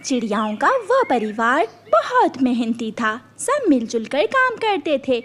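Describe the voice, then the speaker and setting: A woman narrating a children's story in an expressive, scripted storytelling style. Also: sits high, unhurried.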